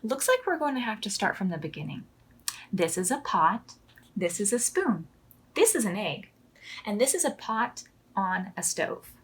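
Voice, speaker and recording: A woman's voice: mid-range voice, very animated, normal speed, breathy edge. A woman voicing a know-it-all male pastry chef who instructs beginners in a children's storybook. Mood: patient instructing. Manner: boastful, instructing.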